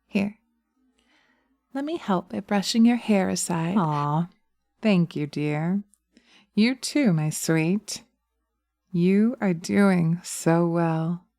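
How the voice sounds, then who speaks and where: A woman playing seductive, taunting witches in a slow hypnosis roleplay, speaking in a hushed, dominant manner close to the microphone. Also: lowish voice, vocal fry, dry recording. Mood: seductive, commanding. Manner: sultry, slow, hushed, often dropping to a whisper. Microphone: close binaural microphone.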